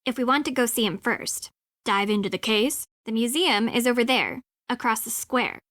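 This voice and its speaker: Actress sassy young female sidekick, fairly high voice, blunt, breezy, teasing, dry game audio. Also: normal speed, fairly bright tone, no room sound, lightly acted. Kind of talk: scripted video game dialogue.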